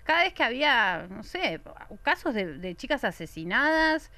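A woman in her thirties with an Argentine accent, a guest in a casual studio podcast chat, talking emphatically with drawn-out pauses. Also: a little high, very animated, pushed and bright, no room sound. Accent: Argentine Spanish. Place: small bright studio. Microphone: desk mic on stand.

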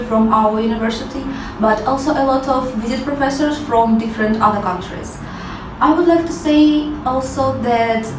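Young woman student, high voice, mic far off in a noisy echoey room. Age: young adult. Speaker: master's student. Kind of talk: prepared testimonial. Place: room indoors.

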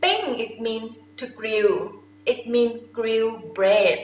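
A woman's voice: a little high, steady tone, moderate pace. A young woman with a Thai accent, a native Thai speaker, teaching a language lesson to camera in simple, informal explanations. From Thailand. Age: young adult. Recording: echoey room with faint noise.

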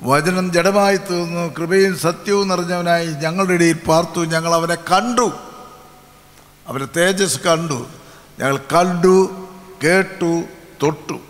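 Older bishop, slightly high voice, solemn and measured, repeating phrases, long reverb in a big tent. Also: male voice, fairly even intonation, fairly bright tone. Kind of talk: sermon and closing benediction. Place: large open-air convention tent. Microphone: stand mic.

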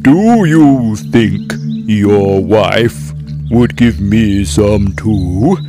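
Man voicing a slow, greedy storybook bear. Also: on the low side, animated, over music. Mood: anxious, greedy. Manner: slow, halting, repeats words eagerly. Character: greedy, inquisitive, slow-witted but friendly male bear. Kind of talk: children's storybook character voice.

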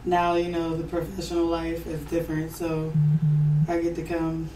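A young American woman, a professional hurdler, answering interview questions in a relaxed, loose way with many fillers and restarts. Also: deep voice, moderate pace, distant mic, a little hiss or hum. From United States. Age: young adult. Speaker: interview guest, pro hurdler. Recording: roomy sound from off mic with faint ambience.

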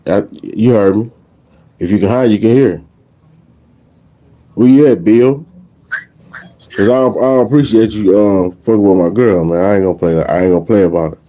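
A man's voice: on the low side, normal speed, long pauses, dark tone. A middle-aged American man talking as a streetwise prank caller, confronting someone and repeating himself, recorded on a phone at arm's length. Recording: noisy phone-camera recording.

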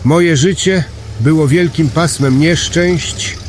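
A man's voice: on the high side, slow pace, slight background noise. A man acting a melancholy, self-pitying, unlucky man weary of life in an audio drama monologue. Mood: despairing.

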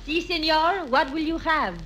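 An actress playing a Mexican saloon barmaid in an old radio comedy sketch. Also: female voice, sits high, measured pace. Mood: questioning. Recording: noisy old radio broadcast recording.

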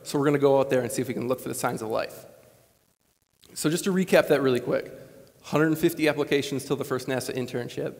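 Earnest young man speaking in a gym. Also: medium pitch. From Wisconsin. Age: late 20s. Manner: earnest, conversational, animated gestures. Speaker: commencement speaker. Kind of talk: motivational commencement speech. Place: large school gymnasium, on stage. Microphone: lapel mic. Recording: long gym reverb.

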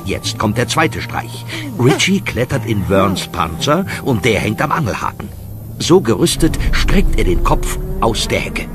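A man narrating a scripted children's audio story with dramatic, lively delivery. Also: medium pitch, a little slow. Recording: music behind the voice.

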